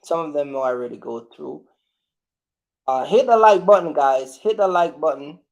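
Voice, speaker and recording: A man hosting a casual live question-and-answer chat, speaking in a halting, repetitive way and often addressing his audience directly. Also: a little high, lively intonation, vocal fry. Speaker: livestream host.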